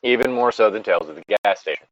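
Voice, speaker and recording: A man's voice: fairly low pitch, expressive, very fast, no room sound, low-quality recording. A man co-hosting a story reading show, switching between reading aloud and casual, sardonic, rambling commentary with some swearing.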